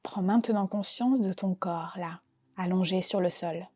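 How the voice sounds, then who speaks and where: A woman gently and calmly guiding a relaxation meditation as a yoga nidra teacher, unhurried and soothing in her delivery. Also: on the low side. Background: soft background music.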